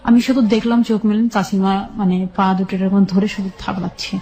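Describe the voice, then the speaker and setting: A woman with a Bangladeshi accent shares a personal story in an interview. Her voice is dark and soft-edged, sitting at an ordinary female pitch. She goes step by step in halting detail, often repeating a phrase. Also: fairly even intonation. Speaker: guest telling her life story.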